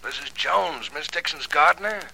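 An actor playing an older, loyal family gardener with a folksy rustic way of talking, in 1940s radio drama style, on an old broadcast with faint hiss. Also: male voice, fairly high pitch, animated, normal speed, vocal fry, sharp tone, heavily acted. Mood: worried.

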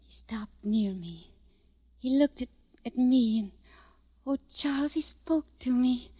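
Fragile, wistful young woman, high-voiced. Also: takes it slowly, a little mellow, husky, dry recording, heavily acted. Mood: dreamy confession. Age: young adult. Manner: breathless, wistful, hesitant. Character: fragile dreamy young woman, secretly in love, fearful. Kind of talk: 1940s radio drama acting. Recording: muffled old radio recording.

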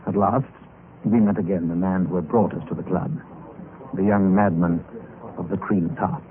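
An actor playing a wary, formal gentleman newcomer in an old-time radio mystery. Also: low-pitched, fairly even intonation, moderate pace, phone-quality sound, natural voice. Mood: grave narration. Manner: polite, formal, questioning. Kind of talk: old-time radio drama acting and narration. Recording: band-limited vintage radio recording with hiss.

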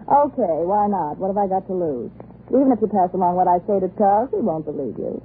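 An actress playing a cynical, flippant Hollywood film star and scheming mistress with an American accent in a 1930s radio drama. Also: female voice, mid-range voice, animated, fast, lightly acted. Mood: cynical, frank. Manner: flippant, slangy, taunting. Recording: scratchy, tinny 1930s radio recording.

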